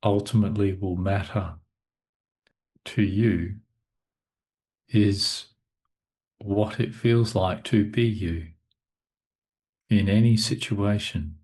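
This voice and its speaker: A male meditation teacher talks at an extremely unhurried pace. His voice sits fairly low, and he delivers the guidance in short, measured phrases, leaving long silences between them. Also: steady tone, darkish tone. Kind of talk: guided meditation talk.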